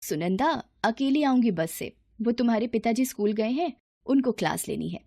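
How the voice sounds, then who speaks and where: A woman's voice: on the high side, expressive, moderate pace, warm tone, no room sound. A woman voicing a humble middle-aged mother in a moral story, meek and apologetic in manner.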